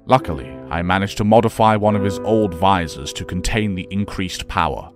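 A man plays a grave professor in an audio drama. His low voice is calm, measured and serious. Music runs clearly underneath. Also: close to an everyday voice.

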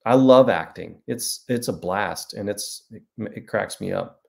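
A man's voice: on the low side, measured pace, creaky. A man in his forties, an author hosting a casual livestream from a small room at home, talking to a webcam and answering viewer comments in a rambling, self-deprecating way. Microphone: webcam at desk.

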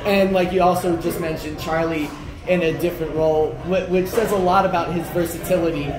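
A man's voice: on the high side, steady tone, fairly quick. A man interviewing a coach after a match, asking long, wandering questions with fillers. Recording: echoey hallway, crowd noise behind.

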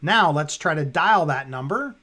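A man in his fifties with a fairly high voice presents a software walkthrough. He speaks casually, talking himself through each step as he works on screen. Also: lively intonation, normal speed. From Canada. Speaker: tutorial presenter. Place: indoors, at a computer.

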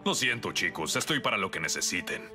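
Troll grandote de voz grave. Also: male voice, deep voice, big pitch swings, fairly quick, loud music behind the voice. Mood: easygoing, distracted. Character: big friendly male cave troll, easygoing young mechanic. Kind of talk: animated cartoon dub acting.

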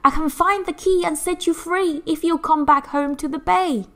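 A woman's voice: extremely high pitch, steady tone, moderate pace, fairly bright tone, voice pushed up. A woman voicing an anxious, helpful storybook seagull, crying out urgently and eagerly. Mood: urgent pleading.

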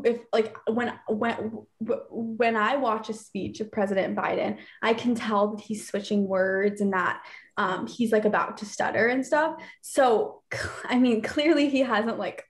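A young woman on a video call from her bedroom, speaking with many fillers and occasional stutters. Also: medium pitch. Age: young adult. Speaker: teen advisory council member. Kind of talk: casual panel discussion on video call. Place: bedroom at home, indoors. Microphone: laptop webcam on video call.

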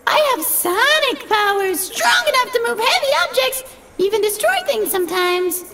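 A tiny video game creature, with an extremely high, swooping voice. It is eager and apologetic, forever exclaiming at full tilt. The sound is distant, under heavy noise. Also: female voice, expressive, normal speed. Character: tiny, excitable, apologetic creature with sonic powers. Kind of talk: animated video game acting. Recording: very noisy, distant game audio.

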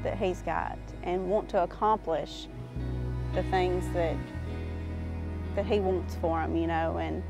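A woman's voice: fairly low pitch, steady tone, slow, pauses often. A woman you would hear in a farm family profile, chatting to an interviewer while music plays behind her. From Arkansas, United States. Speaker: farmer, mother. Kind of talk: casual interview talk. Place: outdoors in an open pasture.

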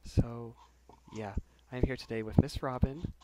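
A young man hosting a casual game session with friends, speaking quietly as he tries to whisper. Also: mid-range voice, steady tone, unhurried, heavy background noise. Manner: hushed, trying to whisper. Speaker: channel host. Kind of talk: casual gameplay chat with friends. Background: loud keyboard and mouse clicks.

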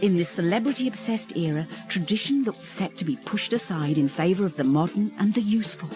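Woman, deep voice, scripted documentary narration, music bed. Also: animated, brisk.

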